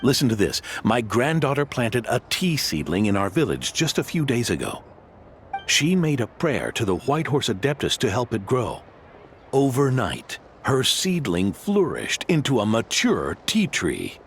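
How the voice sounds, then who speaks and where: A man's voice: medium pitch, measured pace, slight background noise. A man voicing a hearty, devout and generous old grandfather innkeeper in a video game, with an effusive manner. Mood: fervent. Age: older. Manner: animated, effusive. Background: music.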